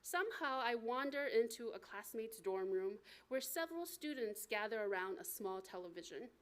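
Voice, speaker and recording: A woman with an American accent and a fairly high voice reads her own memoir aloud at a live book event. Her delivery is expressive and deliberate, like an author telling a personal story to listeners. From United States.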